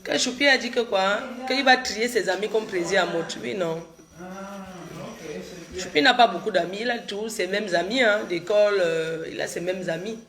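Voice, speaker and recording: A woman's voice: mid-range voice, moderate pace, a crowd in the background. Chatty woman with a cold. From Cameroon. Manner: chatty, repeats herself, answers viewers' comments directly. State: has a cold. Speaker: social media livestreamer. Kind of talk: casual live chat with viewers.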